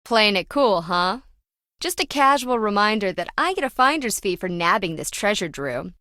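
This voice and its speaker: A sardonic woman treasure hunter from a video game, speaks in a bright, sharp voice at an average pitch. She is slippery and flippant, teasing in breezy slang. The sound is dry, with no room around it. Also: very animated, moderate pace, no room sound. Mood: sly, teasing.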